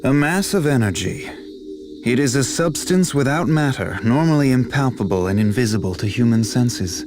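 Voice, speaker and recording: A man acting a learned, composed video game figure who explains ancient lore in a formal, grave and measured way. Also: mid-range voice, expressive, lightly acted. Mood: grave, explanatory. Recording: music behind the voice.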